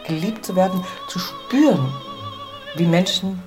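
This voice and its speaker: A woman's voice: lowish voice, animated, unhurried. A woman performer speaking in an emotive, personal way. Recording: loud opera music over the voice. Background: loud opera music.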